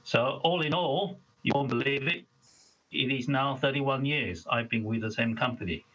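A man's voice: mid-range voice, moderate pace, fairly bright tone, lo-fi sound. A man in his fifties from Malaysia, guest on an interview, chatting casually and listing details of his work.

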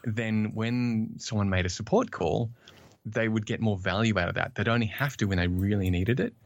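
A man's voice: fairly low pitch, moderate pace, gravelly, dry recording, muffled recording. A native English-speaking man answering interview questions, hesitant and polite, with many fillers and restarts. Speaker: podcast guest, documentation writer.

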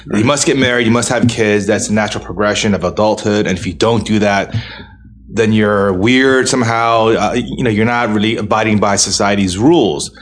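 Man, forties, low voice, earnest, reflective, casual chat. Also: fairly quick. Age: 40s. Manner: earnest, reflective, laughs often.

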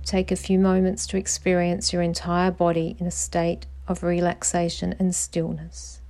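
A woman guiding a meditation in a calm, soothing voice, giving measured instructions with pauses. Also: lowish voice. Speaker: meditation guide, podcast host. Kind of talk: slow guided meditation narration.

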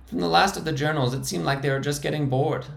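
A man doing a nervous male survivor whose very high voice keeps cracking into squeaks. He sounds wry and awkward, in a roomy, echoing space. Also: fairly even intonation, quick pace, vocal fry, fairly bright tone. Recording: roomy echo.

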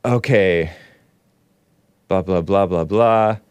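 A man's voice: low voice, takes it slowly, a little mellow, smooth, dry recording. A man hosting a casual livestream, reading viewer chat aloud with jokey asides and frequent chuckles. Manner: jokey, reads chat messages aloud in mock voices, chuckles often.

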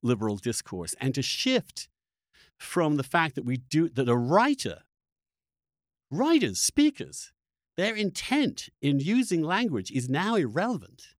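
A man hosting a conversational political discussion, speaking emphatically and haltingly, often repeating words as he builds a point. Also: a little high, wildly swooping pitch, no room sound, studio quality. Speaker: podcast host interviewing a guest.

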